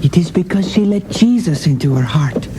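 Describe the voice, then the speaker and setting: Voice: mid-range voice, lively intonation, moderate pace, lightly acted. A performer playing an earnest, remorseful young boy in a radio drama. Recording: noisy radio broadcast recording.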